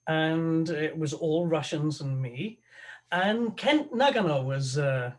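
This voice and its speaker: A man, an opera tenor, talking as a guest on a video call, halting and thoughtful with many restarts. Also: on the high side, animated. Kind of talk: conversational interview over a video call.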